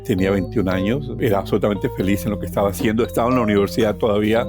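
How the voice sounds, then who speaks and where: A middle-aged man with a Colombian accent recounts his own life as a personal story, phrasing deliberately and repeating words for emphasis. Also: fairly low pitch. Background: plucked guitar music.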